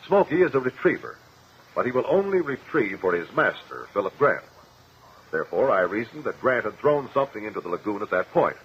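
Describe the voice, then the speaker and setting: An actor playing a shrewd, confident courtroom investigator in a 1950s radio drama, speaking formally and precisely. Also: male voice, lowish voice, sing-song, a little fast. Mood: confident, explanatory. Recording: muffled, noisy old radio recording.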